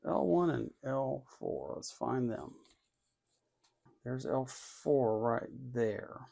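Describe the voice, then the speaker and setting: A man with a medium-pitched voice and plenty of vocal fry talks through hands-on work as a hobbyist host. His delivery is casual and unhurried. He thinks out loud, often half muttering to himself as he goes. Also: expressive. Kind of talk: casual hands-on build narration.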